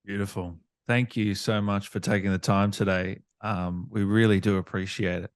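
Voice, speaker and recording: A man's voice: lowish voice, fairly even intonation, moderate pace, clear, resonant tone. A man hosting a casual interview in a polite, appreciative manner. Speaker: interviewer, host.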